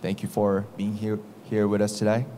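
A young man with a Korean accent speaks very slowly and earnestly into a handheld mic at a press conference, halting with ums. His voice sits a little low. A faint hiss runs underneath. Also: completely flat delivery, warm tone. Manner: slow, earnest, halting with fillers.

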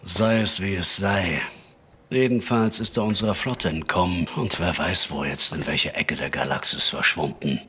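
A man playing a genial older secret service chief in a retro sci-fi audio drama, avuncular and authoritative, with a husky, rough voice. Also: deep voice, moderate pace, sharp tone, full character voice. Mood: grave, pondering.

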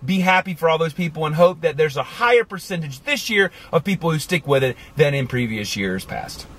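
A man in his forties talking casually to the camera from inside a car, animated and chatty, with many asides. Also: a little high, a little fast. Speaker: vlogger. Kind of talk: casual motivational talk to camera. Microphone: camera on the dashboard.

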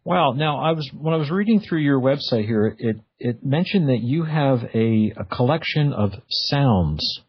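A man's voice: medium pitch, animated, normal speed, hardly any pauses, husky. A man from the United States hosting a radio show, introducing and interviewing a guest. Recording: slightly dull, lo-fi audio.

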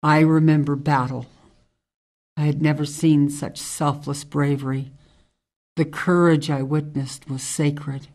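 Radio drama: tough female ex-military ranger, played by a woman, measured, earnest monologues, low-voiced for a woman. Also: little pitch movement, darkish tone. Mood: solemn, earnest. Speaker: actor in an amateur radio drama.

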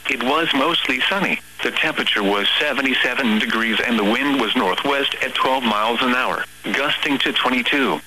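A synthetic male text-to-speech voice reading weather radio forecasts and observations in a measured, formal, impersonal way. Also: medium pitch, bright, forceful tone, low-quality recording. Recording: weather radio broadcast with hum and static.

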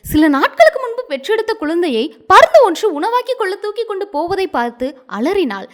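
A woman storyteller narrating a scripted moral tale in a dramatic, expressive manner. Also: very high-pitched, fairly quick.

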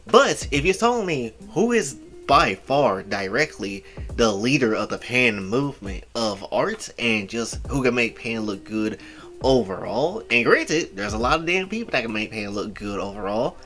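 A man in his early twenties hosting a casual anime art commentary, rambling with repeated filler phrases and sudden loud outbursts. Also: mid-range voice, very animated, unhurried, soft background music. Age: 20s. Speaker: channel host.

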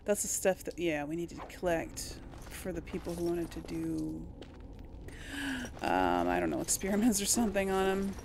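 A woman giving casual, expressive gameplay commentary, reacting aloud and talking to the game's characters. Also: on the low side, moderate pace, vocal fry, background noise. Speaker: gamer narrating a role-play let's play. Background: game music behind the voice.

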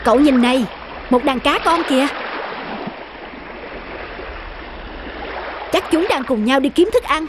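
A woman's voice: high voice, a little fast, loud music behind the voice, natural voice. A woman voicing a curious, imaginative young male sailor in a cartoon, with an eager, wondering manner. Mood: wistful.

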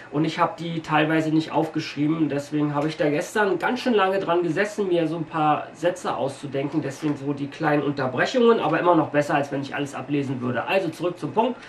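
A middle-aged man with a light Berlin accent chats through shop anecdotes, stopping to check his notes and filling the gaps with ums. His voice sits a little high for a man. It is picked up by a camera a few metres off in a small echoey room, with a faint hiss underneath. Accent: light Berlin German. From Berlin, Germany. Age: 40s. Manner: chatty, reads from notes, frequent pauses and fillers. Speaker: video host telling shop stories. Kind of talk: casual storytelling to camera. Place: small room packed with shelves, indoors. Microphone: camera mic a few metres away.

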